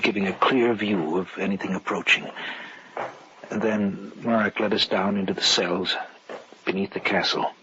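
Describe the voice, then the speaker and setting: An actor with an American accent playing a stubborn, sceptical husband who also narrates an old radio horror drama. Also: male voice, low-pitched, close to an everyday voice. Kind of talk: 1940s-50s radio drama acting and narration. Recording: dull hissy old radio recording.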